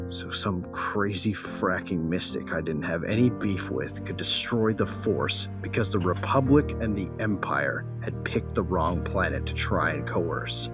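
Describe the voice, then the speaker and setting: A man playing a grieving, embittered bounty hunter who narrates his own story in an audio drama, brooding and terse. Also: sits low. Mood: anguished.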